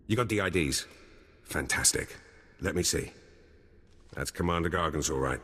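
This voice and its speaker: A ruthless yet honourable military spymaster for a video game. His voice is very low and rough-edged. He talks in a grave, resigned way, with long reverb around him. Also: lively intonation, moderate pace, fairly bright tone. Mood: wary, businesslike. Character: gruff male army captain turned spy handler, ruthless but honourable.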